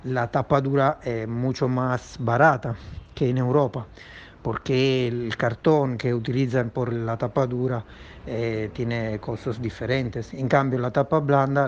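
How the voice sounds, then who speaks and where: Italian-accented man, halting, dull audio. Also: medium pitch. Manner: hesitant, many fillers and restarts. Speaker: comics publisher. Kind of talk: remote podcast interview answer.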